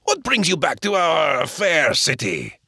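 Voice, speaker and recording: A genial, shrewd shopkeeper , voiced by a male narrator, booms in a raised voice. He sounds hearty and welcoming. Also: wildly swooping pitch, measured pace, vocal fry, sharp tone, breathy edge, dry recording, studio quality, exaggerated voice.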